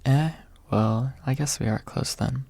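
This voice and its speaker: A man performs a brash young man from a fantasy storybook, sarcastic in temper. The voice is husky and creaky, delivered softly in an ASMR style. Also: mid-range voice, steady tone, unhurried, no room sound. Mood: reluctant. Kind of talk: soft ASMR character reading.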